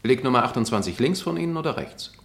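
An actor playing a formal prosecutor who questions in a clipped, methodical way in a German radio drama. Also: male voice, medium pitch, animated, fast talker, creaky. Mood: businesslike.

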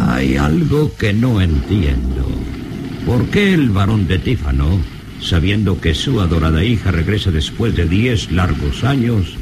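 An actor playing an urbane, talkative, inquisitive traveler in a radio adventure serial, with a wry, genteel manner. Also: male voice, deep voice, full character voice. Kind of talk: radio drama acting. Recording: noisy old radio serial recording.

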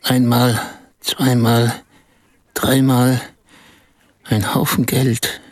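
An actor playing a desperate, battered man who tells of his humiliation in short, halting phrases in a radio play. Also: mid-range voice, little pitch movement, dry recording. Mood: desperate, recounting. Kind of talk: radio play acting, storytelling.

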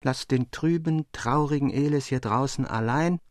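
A man's voice: medium pitch, normal speed, dry recording, clean studio sound, pitched above the performer's own voice, lightly acted. A man voicing a melancholy, brooding young sailor in a storybook reading, withdrawn and gloomy. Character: melancholy brooding twenty-year-old sailor, weary of life. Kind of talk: audiobook character acting.

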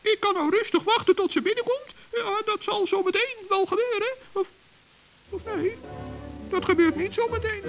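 A man playing a small, earnest, trusting forest gnome with a squeaky, creaky voice in a children's radio play. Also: moderate pace, a bit bright, lo-fi sound, faint music behind, full character voice. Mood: pleased, busy. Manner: earnest, naive, polite.

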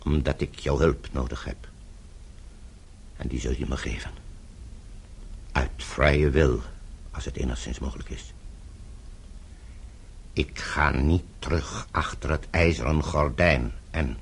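An actor playing a weary, bitter older politician from behind the Iron Curtain in a radio play, brooding and confessional. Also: male voice, rumbling low, steady tone, slow pace, long pauses, exaggerated voice. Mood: determined, bitter. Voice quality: gravelly, husky. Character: weary, bitter older Eastern Bloc politician, guilt-ridden. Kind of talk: radio drama acting.

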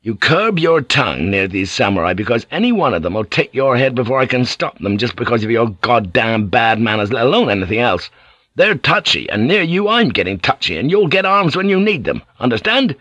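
A man's voice: mid-range voice, big pitch swings, brisk, no room sound. Man playing a curt, commanding ship's pilot. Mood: commanding, testy. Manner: curt, forceful orders. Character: commanding, short-tempered English male ship's pilot.

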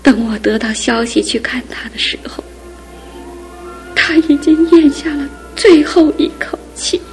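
An actress playing a middle-aged aunt in a radio drama, speaking softly and often in a hushed whisper. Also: female voice, high voice, measured pace, faint music behind. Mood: sorrowful. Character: aging aunt, gentle, grieving an old love.

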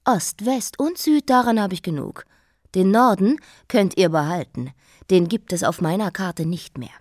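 A woman in a radio drama playing a defiant, wilful abdicated queen, speaking in deliberate, declarative phrases. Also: medium pitch, expressive, dry recording, clean studio sound. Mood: proud, defiant.

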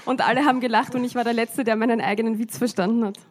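A woman's voice: fairly high pitch, steady tone, rapid-fire. A woman interpreting a church service live, phrase by phrase, with frequent hesitation fillers.

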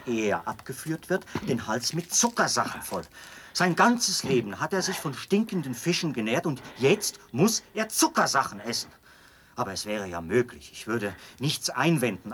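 An actor playing a zealous, pedantic execution officer in a radio drama, explaining with precise, emphatic delivery. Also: male voice, medium pitch, a bit bright, a little hiss or hum, natural voice. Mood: indignant. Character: zealous male execution officer, pedantic, devoted to his machine.

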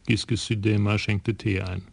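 A man narrating a radio crime drama in a measured, even storytelling voice. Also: lowish voice, gravelly, slightly rough, dry recording.

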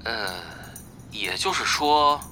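A man's voice: mid-range voice, expressive, slow, background noise. A man playing a blunt, commanding coordinator of undercover guild spies in an audio drama, businesslike in manner.

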